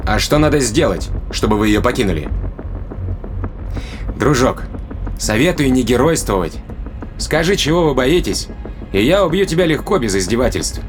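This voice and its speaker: A tough, sardonic spaceship crewman, acted by a man, in a medium-pitched voice. He interrogates in blunt everyday language with a pointed edge, as in an audio drama, over clearly audible music. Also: expressive, moderate pace, pauses often, lightly acted. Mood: cold, threatening. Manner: colloquial, mocking, pointed questioning.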